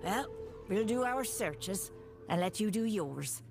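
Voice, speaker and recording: A woman's voice: mid-range voice, animated, takes it slowly, vocal fry. A woman playing a watchful, resolute leader of a sisterhood in video game dialogue. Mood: guarded, resolute. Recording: music behind the voice.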